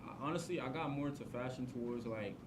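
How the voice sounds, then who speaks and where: A man's voice: mid-range voice, quick pace, far from the mic. A man in his twenties from Queens, New York, whose first language is Yoruba, chatting casually as a guest and filling his talk with "like" and "you know.". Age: 20s. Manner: relaxed, many fillers like "like" and "you know". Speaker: guest, clothing brand owner. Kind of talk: casual interview. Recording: noisy student TV studio.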